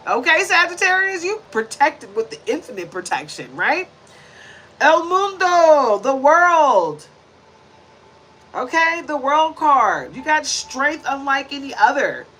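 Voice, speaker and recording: A woman's voice: high-pitched, pushed and bright, slight background noise, poor audio. A woman in her forties giving a chatty, preachy tarot reading to camera, handling the cards as she talks. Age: 40s. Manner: chatty and preachy, many tag questions; shuffles and lays out cards while talking. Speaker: tarot reader.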